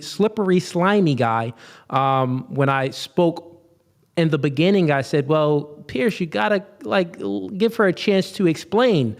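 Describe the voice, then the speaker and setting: A man hosting a podcast, reading viewer chats and chatting in a relaxed way. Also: fairly high pitch. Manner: relaxed, laughs between points. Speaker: podcast host. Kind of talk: casual chat.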